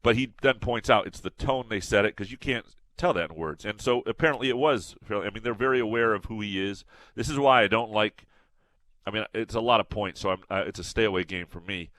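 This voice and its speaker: A man's voice: lowish voice, fairly quick, fairly bright tone, no room sound, poor audio. Nasal-sounding man talking sports. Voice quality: slightly nasal. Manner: rambling, many restarts and fillers. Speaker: sports podcast co-host. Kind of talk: casual sports talk.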